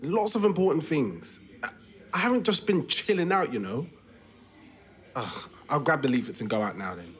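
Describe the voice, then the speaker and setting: A man's voice: on the high side, expressive, normal speed, long pauses, a bit bright. An actor playing a young man in a naturalistic audio drama, matey and colloquial, prickly with his mum. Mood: defensive, irritated. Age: young adult.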